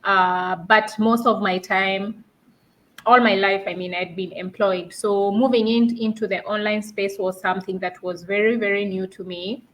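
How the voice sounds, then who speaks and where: A woman's voice: medium pitch, steady tone, normal speed, rough recording. Earnest Kenyan woman guest. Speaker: panel guest, online business owner. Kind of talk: casual panel talk.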